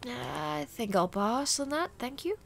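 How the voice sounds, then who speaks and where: A woman's voice: on the high side, expressive, unhurried, gravelly, fairly bright tone, slight background noise, exaggerated voice. A woman voicing a sardonic, conflicted male demon in a comic dub, wry and hesitant, sometimes dropping to a whisper. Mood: nervous, evasive.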